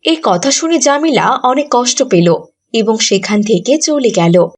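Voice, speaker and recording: Female storyteller for children's cartoon, high-pitched, scripted narration. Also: expressive, a little slow, breathy edge.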